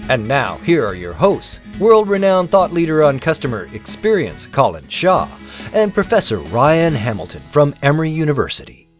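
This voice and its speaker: A man reading a scripted podcast introduction in a lively announcer style. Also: on the high side, normal speed. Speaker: show announcer. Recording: dull lo-fi audio with faint music.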